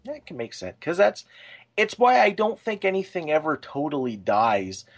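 A man's voice: a little high, sing-song, moderate pace. A man co-hosting a podcast, talking casually and explaining his thoughts in a conversational way. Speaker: podcast co-host.

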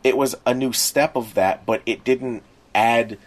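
A middle-aged man chats casually as a podcast co-host in a medium-pitched voice. His manner is wry, with a humorous grumble to the way he makes his points. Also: a little slow. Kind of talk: casual podcast chat about pop culture.

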